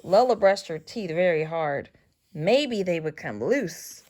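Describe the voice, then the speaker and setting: A woman reading a children's story aloud as scripted narration, with expressive, deliberate phrasing for young listeners. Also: fairly low pitch. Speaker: storybook reader.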